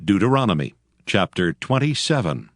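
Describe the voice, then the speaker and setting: A man's voice: on the low side, big pitch swings, moderate pace, loud music behind the voice. A man narrating dramatized scripture in a formal, solemn manner. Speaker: Bible narrator.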